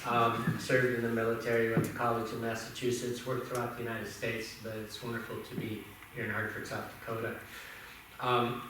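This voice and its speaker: A man from Indonesia addresses a city council in a measured, formal way. He is heard from well off the microphone, and his voice rings around a large, hard council chamber. A clear hum of room noise sits under him. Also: medium pitch, completely flat delivery. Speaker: visiting development consultant. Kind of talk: public comment address. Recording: distant voice in echoey council chamber.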